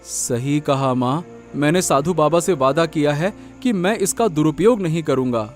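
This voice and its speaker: A man's voice: fairly high pitch, normal speed, soft background music, lightly acted. A man voicing a humble, kind-hearted village vegetable seller in a cartoon story, speaking earnestly. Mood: humble, kindly. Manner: earnest, expressive. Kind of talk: scripted cartoon story acting.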